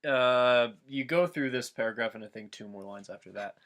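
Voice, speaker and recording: A man's voice: medium pitch, normal speed, a bit bright. A man chatting casually as a co-reader, cutting in with hesitant comments. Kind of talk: casual chat.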